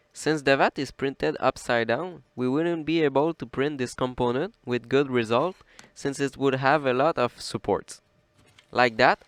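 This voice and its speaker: A man with a French accent narrates a scripted tutorial. His voice is of average pitch, smooth and clearly formed, though he is a little off with flu. It is recorded close and dry, with hardly any room on it. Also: dry recording. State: sick with flu, slightly odd voice. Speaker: presenter of a 3D printer build series.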